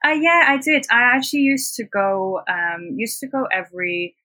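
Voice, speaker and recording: Young woman from hong kong, cantonese first language, bright husky voice, lots of "like", chatty podcast guest. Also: on the high side, animated, normal speed, no room sound. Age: 20s. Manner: chatty, many "like" fillers, laughs between points. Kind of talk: casual conversational interview.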